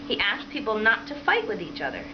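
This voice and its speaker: A woman primary school teacher reading a picture book aloud to children and pausing to prompt them with questions. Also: on the high side, sing-song. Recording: dull lo-fi sound with clear background noise.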